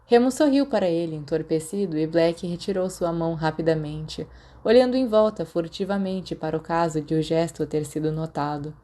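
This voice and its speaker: A woman's voice: low voice, moderate pace, warm tone. A woman with a Brazilian accent narrating scripted fiction as an audiobook reader.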